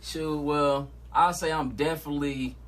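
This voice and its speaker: A man's voice: a little high, steady tone, moderate pace. A young man from Georgia, a rapper talking casually and laid-back in a podcast interview. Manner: laid-back, talks in slang. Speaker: guest rapper.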